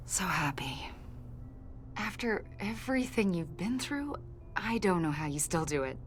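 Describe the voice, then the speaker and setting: An actress playing a confident government agent in a video game, questioning in a pointed, insinuating and accusatory way. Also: female voice, lowish voice, big pitch swings, slow, pauses often, a little hiss or hum. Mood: coolly friendly. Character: probing female government agent, confident, accusatory, smoothly needling. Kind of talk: video game dialogue acting.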